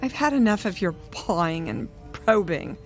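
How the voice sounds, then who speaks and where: A woman's voice: mid-range voice, lively intonation, moderate pace, soft background music, lightly acted. Imperious rich lady, played by an actress, swooping delivery. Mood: haughty, irritated. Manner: haughty, demanding, snappish. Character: imperious, vain, impatient older wealthy fashion woman. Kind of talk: radio drama acting.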